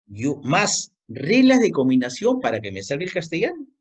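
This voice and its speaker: A man's voice: on the high side, huge pitch swings, normal speed, breathy edge, lo-fi sound. A male teacher giving a language lesson, repeating key points and quizzing the student with questions. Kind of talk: classroom lecture.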